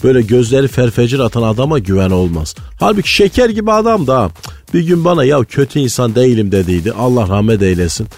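A man's voice: medium pitch, expressive, quick pace, a little mellow. A man playing a pious, conspiracy-minded provincial economy guru in radio comedy, earnest and rambling, with a Malatya accent from eastern Anatolia. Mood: deadpan storytelling. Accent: Malatya, eastern Anatolian Turkish. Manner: folksy, earnest, rambling anecdotes. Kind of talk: comic radio character monologue. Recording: studio voice over background music.

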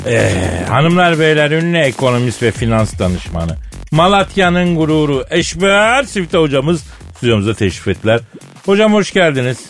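A man's voice: fairly high pitch, very animated, normal speed. A man hosting a comic radio show, bantering and interviewing in a teasing, mock-scolding colloquial way. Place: radio studio. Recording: studio voice over background music.

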